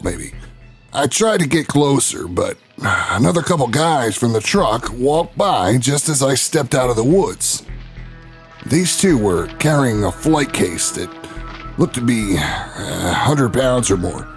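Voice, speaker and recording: A brash talk show host telling an investigative tale in an audio drama over prominent music. His delivery is slow and very animated, folksy and full of swagger, with dramatic pauses. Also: mid-range voice, husky, full character voice. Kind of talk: scripted first-person narration in an audio drama.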